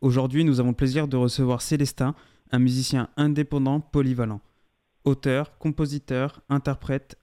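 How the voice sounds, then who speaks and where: A young man hosting a local radio interview, polite and halting, often repeating himself. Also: medium pitch, dark tone, clear, resonant tone. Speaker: radio host.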